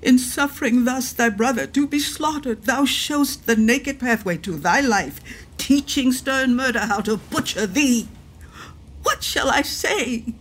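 A husky older duchess, widowed and bitter. She speaks formal verse slowly and with desolate feeling, as in a Shakespeare radio play. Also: high voice, lively intonation, fairly bright tone, full character voice, low-quality recording. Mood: urgent, bitter. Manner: slow, emotional, formal archaic verse.

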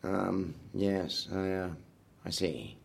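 An eccentric designer of horror rides in an audio drama. The voice is husky and fairly low, with big swings in pitch. He is intense and terse, relishing everything macabre. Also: expressive, extremely slow, full character voice. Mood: hesitant. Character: eccentric male theme park designer, morbidly eager to become a ghost.

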